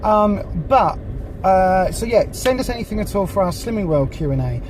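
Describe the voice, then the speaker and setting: Croaky vlogger in a car. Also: medium pitch, lively intonation, moderate pace, darkish tone, recorded from a distance. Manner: chatty, rambling, with frequent ums. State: croaky from a cold. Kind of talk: casual chat. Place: in a parked car. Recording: noisy car interior. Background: street and traffic noise.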